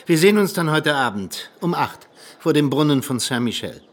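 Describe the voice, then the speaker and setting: Radio drama: male actor as cynical Soviet handler, brisk and authoritative, faint music behind. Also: a little high, lively intonation, lightly acted. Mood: brisk, businesslike. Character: commanding Soviet secret agent, handler and mentor, coolly cynical. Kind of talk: radio play acting.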